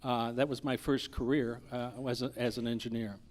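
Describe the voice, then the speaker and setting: Male candidate, medium pitch, hesitant forum answers with frequent self-corrections. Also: little pitch movement, moderate pace, darkish tone.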